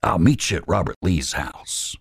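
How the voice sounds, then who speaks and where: A man voicing a calm, commanding man who gives brisk orders. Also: low voice, creaky, slightly rough, exaggerated voice. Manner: brisk, matter-of-fact instructions.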